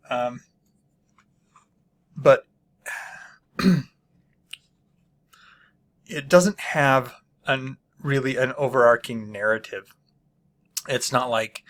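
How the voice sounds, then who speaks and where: Host of a small book channel: unhurried, hesitant man who fills gaps with ums and keeps clearing his throat. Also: medium pitch, a bit bright. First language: not Polish. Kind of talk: casual spoken book review.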